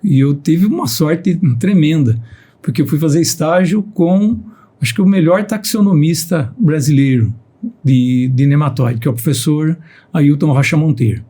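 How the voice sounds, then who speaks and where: A man's voice: a little high, moderate pace, dark tone, slightly rough. A man in his fifties with a Piracicaba countryside accent, a guest scientist on a podcast, speaking steadily and often repeating words as he starts a sentence. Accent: Piracicaba countryside Brazilian Portuguese. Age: 50s. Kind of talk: podcast conversation.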